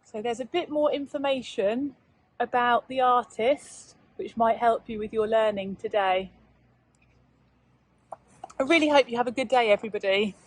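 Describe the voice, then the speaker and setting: A woman teacher reading aloud and chatting to young pupils in a measured, child-directed way. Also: on the high side, no echo. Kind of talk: children's read-aloud and informal lesson talk.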